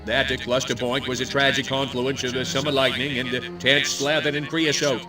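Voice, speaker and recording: An actor playing a glib, shifty, pompous American small-town mayor, speechifying in a comic video game cutscene. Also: male voice, mid-range voice, little pitch movement, normal speed, close to an everyday voice. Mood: glib, evasive. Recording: distant microphone, music behind.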